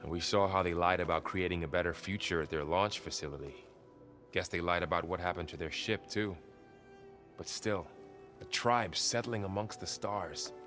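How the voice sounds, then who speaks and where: A loyal young warrior in a cinematic game scene. His voice is on the low side. He speaks calmly and sincerely, with a thoughtful, conversational and steady delivery. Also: moderate pace, faint music behind. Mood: thoughtful, reassuring. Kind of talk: naturalistic video game cutscene acting.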